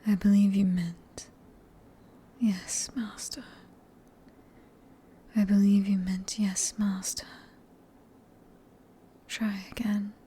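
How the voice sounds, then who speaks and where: A woman with a British accent playing a sadistic, possessive female vampire in a whispered ASMR roleplay, breathy and teasing, with condescending endearments. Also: mid-range voice, little pitch movement, drawn-out words, pauses often, dark tone, exaggerated voice. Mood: stern, commanding.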